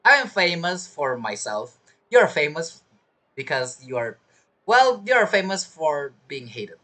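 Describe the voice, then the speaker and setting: Animated, low-voiced female gamer. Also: slow. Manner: amused, teasing, hesitant repetitions. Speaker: gamer streamer. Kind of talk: casual gameplay commentary.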